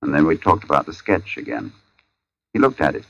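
An actor playing a grave, measured artist who narrates in the first person in an old radio drama. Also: male voice, rumbling low, big pitch swings, creaky, a bit bright, breathy edge, heavily acted. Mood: uneasy, measured. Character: artist recounting an uncanny encounter, grave and measured. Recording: dull old radio recording, music behind.

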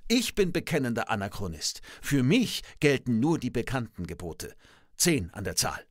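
Comic podcast role: pedantic, contrarian old-school professor, played by a man, precise and declamatory, lively pitch swings, dry close studio sound. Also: moderate pace, dry recording. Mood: dry, musing. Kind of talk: scripted comic dialogue. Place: radio studio. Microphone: studio microphone.

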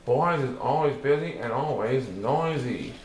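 A man's voice: medium pitch, lively intonation, unhurried, a little mellow. A man reading a children's picture book aloud in verse, with a theatrical, rhythmic, sing-song delivery. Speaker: storybook reader. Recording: roomy echo with some background noise.

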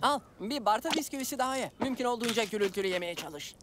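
A woman voicing an eager, fussy young male cat in a children's cartoon dub, with an excitable manner. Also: fairly high pitch, fast, noisy background, natural voice. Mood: sly, fussy. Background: loud music.

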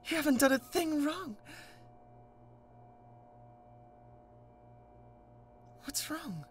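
Unhinged British yandere classmate, wildly swooping voice. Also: female voice, high voice, animated, moderate pace, slight background noise. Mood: agitated coaxing. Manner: theatrical, swinging between hushed coaxing and manic intensity. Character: obsessive, unhinged young yandere classmate, possessive and menacing. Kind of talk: dramatic ASMR roleplay monologue.

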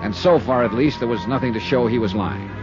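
A man's voice: mid-range voice, a little fast, natural voice. A man playing a hard-boiled investigator who narrates and questions people tersely in a 1950s radio detective drama. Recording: dull vintage radio recording with music.

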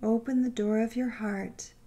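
Female meditation guide: relaxed, warm tone, very slow pace, gentle and soothing, spacious pauses. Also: on the high side. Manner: gentle, unhurried, soothing delivery with long pauses.

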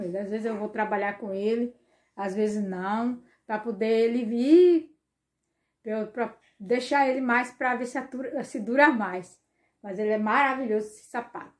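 Chatty woman filming herself on a phone. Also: a little high. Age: middle-aged. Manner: chatty, repeats words, calls viewers "gente". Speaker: vlogger. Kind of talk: casual vlog chat to viewers. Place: small home bedroom and kitchen, indoors. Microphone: phone held at arm's length.

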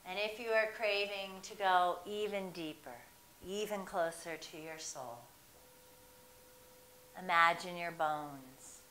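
A woman leads a guided meditation in a low, unhurried voice. She stretches her phrases out and leaves long pauses between them. The recording has a roomy echo and a faint hiss. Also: pushed and bright. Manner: slow, soothing, drawn-out phrasing with long pauses. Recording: echoey room with faint hiss.

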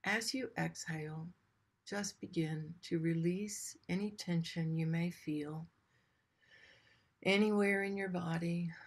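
A woman with a fairly low voice guides a meditation. She speaks very slowly and gently, in short phrases set apart by long pauses, keeping a soothing manner throughout. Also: fairly even intonation.

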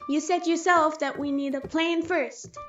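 Small boyish monster child: very high, pleading, muffled echoey recording with music. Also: female voice, steady tone, a little slow, fairly bright tone, distant mic. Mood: pleading, upset. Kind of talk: audio drama acting.

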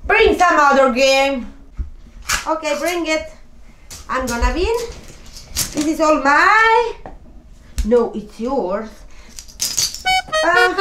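Someone voicing a big, clumsy, childlike teddy bear in improvised pretend play, whiny and exclaiming in simple phrases. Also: high voice. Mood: sulky. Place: indoors at home. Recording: roomy home echo, faint noise.

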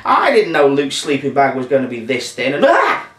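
A man's voice: medium pitch, sing-song, brisk, a bit bright. A man voicing a bossy, indignant young mummy girl in a children's story. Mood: defensive. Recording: roomy, distant microphone.

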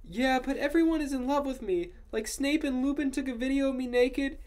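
A woman's voice: high-pitched, a little fast, darkish tone, pitched above the performer's own voice, natural voice. A woman voicing a vain, angsty gothic teenage girl in a whiny, overwrought way for a mock fan fiction reading. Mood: vain, exasperated.

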